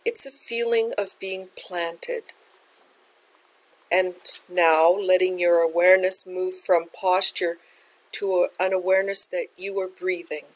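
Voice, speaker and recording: Woman gently guiding a meditation. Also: lowish voice, fairly even intonation, measured pace, rough recording. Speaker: meditation teacher. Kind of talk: guided meditation instruction.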